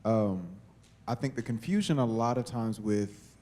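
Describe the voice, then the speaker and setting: A man's voice: on the low side, unhurried, darkish tone. A man speaking as a panelist, starting his answer hesitantly, from some distance to the microphone. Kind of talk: panel discussion.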